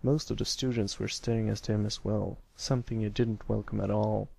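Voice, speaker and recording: A man's voice: fairly low pitch, level tone, normal speed, gravelly, warm tone, breathy edge, no echo. A man reading scripted story narration as an amateur narrator. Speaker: amateur fan fiction narrator.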